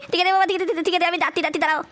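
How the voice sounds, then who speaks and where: Squeaky, rapid, bratty cartoon boy. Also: expressive, a bit bright. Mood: appeased, eager. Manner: whiny grumbling, crude, repeated question tags. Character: grumpy, foul-mouthed bratty boy. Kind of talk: amateur comic cartoon dub.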